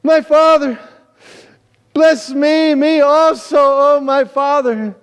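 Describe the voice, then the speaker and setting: A man playing a cheated elder son, loud, bitter and pleading, in a dramatic scripture reading. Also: very high-pitched, steady tone, takes it slowly, pitched above the performer's own voice. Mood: anguished pleading. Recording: reverberant church hall.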